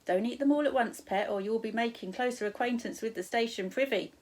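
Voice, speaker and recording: Storybook stallholder, played by a woman reader: motherly, hearty, raised pitch, loud, full of endearments. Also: quick pace, natural voice. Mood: hearty, friendly. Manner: warm, uses pet names like love and dear. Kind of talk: children's storybook read aloud.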